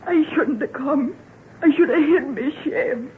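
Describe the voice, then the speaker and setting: A narrow, phone-like old radio drama recording. a young rural English country girl, plaintive and ashamed, speaking slowly in a high, tearful voice. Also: slight background noise. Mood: distressed, ashamed. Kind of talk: old-time radio melodrama acting.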